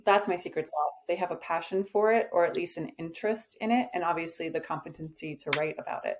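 A woman's voice: on the low side, fast, a little mellow. A woman guest on a podcast, talking in a casual, direct and confident way about her business, with frequent "um" fillers. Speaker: podcast guest, agency owner. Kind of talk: casual conversational interview.

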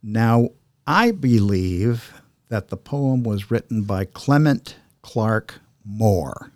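A man with a fairly low voice speaks as a podcast host, recorded close and dry. His delivery is chatty and explanatory, with a halting flow. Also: animated, darkish tone, no echo. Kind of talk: casual podcast talk and poem reading.

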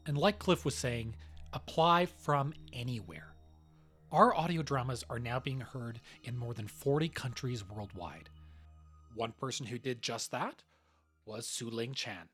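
A man's voice: mid-range voice, unhurried, sharp tone, soft background music. A man narrating a scripted podcast as its host.

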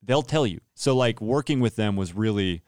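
A man with a naturally low, smooth voice talks through a story in a relaxed interview. He tells it with energy and plays out each line of dialogue. The sound is close and dry. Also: moderate pace, no echo. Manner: animated storyteller, acts out quoted dialogue, laughs often. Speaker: worship pastor and podcast host, interviewed as guest.

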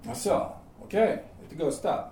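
An actor playing a carpenter in an audio drama, calmly and hesitantly coaxing someone in colloquial speech. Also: male voice, fairly high pitch. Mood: calm, placating. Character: calm carpenter talking a man down from a rooftop. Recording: roomy sound, distant from microphone.

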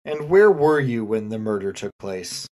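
A man's voice: fairly low pitch, big pitch swings, moderate pace, darkish tone. A man playing a patient police detective in a comic murder mystery reading, questioning suspects politely and insistently. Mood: probing.

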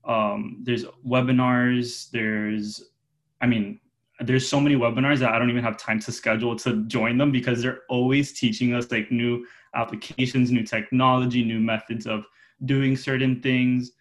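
A man's voice: medium pitch, flat delivery, normal speed. A man in his twenties giving informal, rambling interview answers as a guest, often correcting himself. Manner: informal, enthusiastic wording, rambling with self-corrections. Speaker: interview guest, junior publicity manager.

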